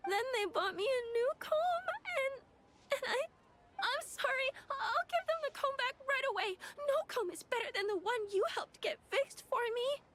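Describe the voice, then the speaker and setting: A woman's voice: very high voice, lively intonation, normal speed, a bit bright. A woman playing a sweet, hesitant, earnest little girl in a video game. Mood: tearful, apologetic. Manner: hesitant, childlike. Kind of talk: scripted video game voice acting.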